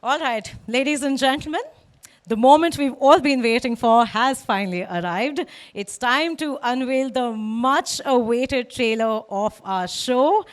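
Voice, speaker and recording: A woman's voice: sits high, unhurried. A woman formally announcing as an event host.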